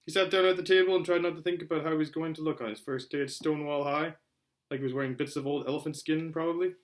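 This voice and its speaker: A man narrating a children's novel aloud in an expressive storytelling style. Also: a little high, fast talker. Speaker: audiobook reader.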